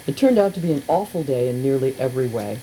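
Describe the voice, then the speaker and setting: A woman reading a humorous memoir aloud in an expressive, wry, deliberate storytelling style, recorded on an amateur cassette. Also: very deep voice, poor audio. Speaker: radio personality reading aloud. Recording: hissy amateur cassette tape, faint noise.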